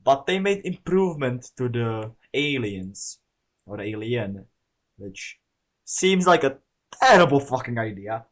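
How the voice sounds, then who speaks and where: A man's voice: mid-range voice, big pitch swings, unhurried, fairly bright tone. A man giving casual, informal video commentary in a slangy, sweary way, as a creator talking through an analysis.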